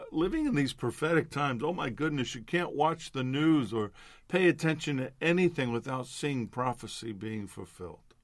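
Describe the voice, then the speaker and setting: A man's voice: medium pitch, no room sound, studio quality. An older man from New York leading an earnest devotional prayer as a minister, his voice nasal from allergies. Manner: earnest, building to fervent declarations.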